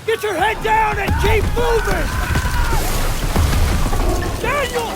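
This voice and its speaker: An actor playing a hard-driving WWII officer in a video game, barking urgent commands under fire. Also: male voice, extremely high pitch, steady tone, slow pace, over loud music. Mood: urgent, commanding. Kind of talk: shouted battle commands, video game acting. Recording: very loud battle noise.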